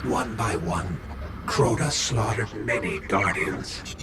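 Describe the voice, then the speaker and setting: A man playing an eerie, ominous figure in a video game cutscene, solemn and drawn-out, with a gravelly voice. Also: fairly low pitch. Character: ominous, eerie male speaker beckoning listeners toward death. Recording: echoey, distant, heavy ambient noise.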